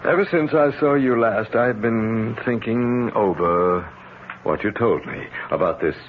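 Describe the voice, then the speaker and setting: An actor playing a concerned, skeptical physician, speaking in a measured, earnest way in old-time radio drama style. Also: male voice, lowish voice, close to an everyday voice. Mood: earnest, cautious. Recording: dull lo-fi radio recording with hiss.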